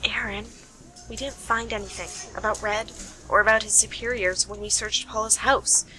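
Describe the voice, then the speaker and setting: A woman's voice: medium pitch, fairly even intonation, normal speed, sharp tone, natural voice, poor audio. A woman playing a shrewd, self-assured detective in an amateur radio play, explaining with dramatic deliberation. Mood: pensive.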